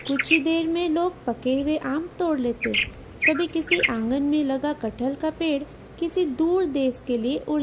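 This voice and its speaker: High-pitched woman narrating a children's story. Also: heavy background noise.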